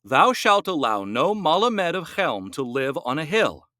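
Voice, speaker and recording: A man voicing a gentle village rabbi in a storybook, speaking solemnly and proclaiming rules. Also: fairly high pitch, very animated, unhurried, dry recording, clean studio sound, exaggerated voice.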